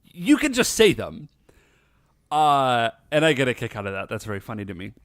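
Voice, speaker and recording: A man's voice: medium pitch, sing-song, normal speed, fairly bright tone, no echo, studio quality. A man co-hosting a podcast, chatting casually in a playful, teasing way. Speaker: podcast co-host. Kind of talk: casual chat. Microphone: recording earphones, studio mic.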